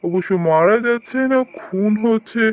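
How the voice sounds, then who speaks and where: Man acting a high-pitched, preoccupied cartoon uncle. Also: moderate pace, lightly acted. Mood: grave, storytelling. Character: preoccupied uncle investigating mysterious deaths, brisk and caring. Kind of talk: animated ghost story voice acting.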